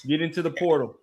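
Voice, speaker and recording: American guy on a sports podcast, kinda high voice, opinionated, hammers his points by saying them again. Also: gravelly, husky, poor audio. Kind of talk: opinionated sports commentary in casual talk.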